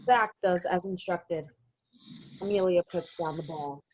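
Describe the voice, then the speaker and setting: A woman's voice: fairly low pitch, unhurried, darkish tone, a little hiss or hum, lightly acted, rough recording. A woman reading stage directions as narrator in a virtual reading of a play. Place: remote virtual reading over video call.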